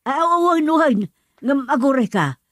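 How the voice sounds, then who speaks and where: A woman's voice: sits high, animated, unhurried, fairly bright tone, dry recording. Woman acting flustered, scolding village mother, close and dry. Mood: flustered, loud. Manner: exclamatory, scolding. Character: flustered village mother, suspicious and easily worked up. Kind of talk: rural comedy radio drama acting.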